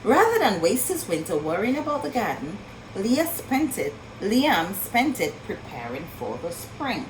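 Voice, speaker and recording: A woman reading a picture book aloud to children in an expressive storytelling style, with marvelling asides and questions to her young listeners. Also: medium pitch, measured pace, noisy background.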